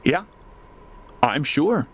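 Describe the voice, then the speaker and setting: A stern, suspicious boss in an audio drama. His voice is husky and sits fairly high, and he speaks slowly in curt, menacing demands. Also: expressive, exaggerated voice. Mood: stern, impatient. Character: stern, suspicious male boss pressuring an underling.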